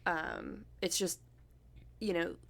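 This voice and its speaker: Wry woman in her thirties, gravelly edge. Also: on the low side, huge pitch swings, takes it slowly. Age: 30s. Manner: wry, dry quips. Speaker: co-host. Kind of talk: casual podcast chat.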